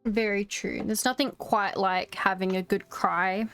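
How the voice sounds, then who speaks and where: A woman's voice: mid-range voice, fairly even intonation, measured pace, a bit bright, faint music behind. A woman streamer giving casual, informal, reactive commentary on a game she is playing, close on the microphone.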